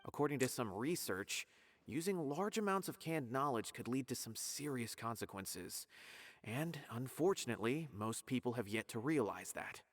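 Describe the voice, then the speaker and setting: An actor playing a polite, earnest male investigator in a video game, explaining things in a measured way. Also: mid-range voice, lively intonation, close to an everyday voice. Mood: grave, urgent.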